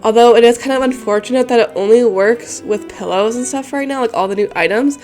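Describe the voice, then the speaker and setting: A woman's voice: a little high, a little fast. A young woman with a congested, stuffy voice, chatting casually and rambling with lots of fillers while talking over a building game. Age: young adult. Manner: rambling, many fillers and restarts. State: congested, recovering from a cold. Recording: soft music behind the voice.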